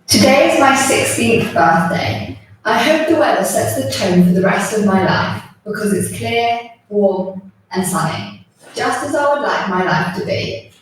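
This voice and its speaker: A woman calmly reading diary entries aloud, played back as a recording. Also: medium pitch, a little slow, slight background noise. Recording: recording played in a hall, heard from far off.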